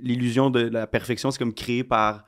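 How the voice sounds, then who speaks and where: A man's voice: mid-range voice, flat delivery, fairly quick. A man in his twenties with a Québécois accent, chatting casually as a podcast guest, rambling and slangy with lots of fillers. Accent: Québécois French. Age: 20s. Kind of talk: casual chat.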